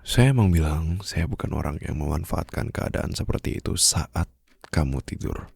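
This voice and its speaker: A man playing a smitten, suave mafia boss in an intimate ASMR romantic roleplay, teasing softly and possessively close to the microphone in a low, gravelly, breathy voice. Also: lively intonation, no room sound, heavily acted. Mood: tender, reassuring. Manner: slow, soft, flirtatious teasing with formal wording. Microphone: close studio microphone.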